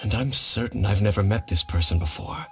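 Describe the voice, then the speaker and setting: An actor playing a grave, reserved male wanderer in a video game, speaking in a formal, measured and calm way. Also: on the low side, faint music behind, close to an everyday voice.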